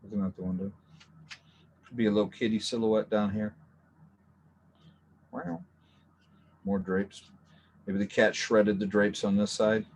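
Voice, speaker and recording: Chatty, relaxed male art teacher. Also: lowish voice, slight background noise. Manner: relaxed, chatty, jokey asides. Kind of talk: casual drawing lesson.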